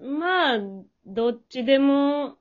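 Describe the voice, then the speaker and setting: A woman's voice: sits high, takes it slowly, a loud crowd. A woman in casual chat as a panelist, giving brief, laid-back replies. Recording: dull, muffled lo-fi audio.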